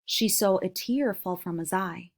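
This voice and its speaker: A woman's voice: on the low side, measured pace. A woman reading a children's novel aloud as a storybook narrator.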